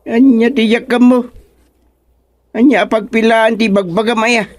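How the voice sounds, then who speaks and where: A man's voice: very high-pitched, steady tone, a little slow, no echo. An actor playing a stubborn, bewildered former village chairman in a comedic radio drama, muttering and exclaiming to himself.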